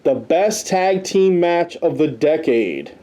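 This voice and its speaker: A man's voice: a little high, sing-song, slow, mellow. A man hosting a podcast, giving casual conversational commentary as he reads through a list and adds his own asides. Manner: conversational, tossing in personal asides. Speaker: podcast host reviewing wrestling history.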